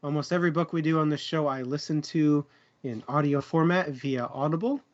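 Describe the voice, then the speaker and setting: A man's voice: fairly high pitch, a little fast, lo-fi sound. A man co-hosting a book discussion livestream, chatting casually in a jokey way. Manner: jokey, fond of puns. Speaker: co-host of a book discussion show. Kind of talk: casual livestream chat and plugs.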